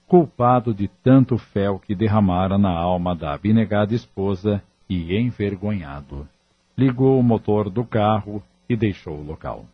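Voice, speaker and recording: Husky Brazilian male narrator over music. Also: lowish voice, muffled recording. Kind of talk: scripted audio drama narration.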